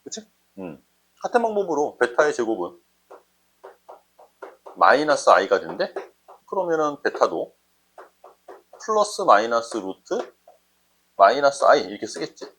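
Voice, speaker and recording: A man's voice: mid-range voice, big pitch swings, measured pace, pauses often, fairly bright tone, a little hiss or hum. A man teaching math informally, thinking aloud in a conversational way and often checking understanding with short questions.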